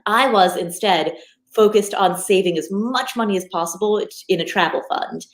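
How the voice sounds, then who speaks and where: A woman's voice: medium pitch, normal speed. A woman in her thirties, a finance podcaster from Nepal raised in the United States, talking animatedly as an interview guest with many restarts. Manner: animated, frequent restarts and fillers. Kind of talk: conversational interview. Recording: echoey room.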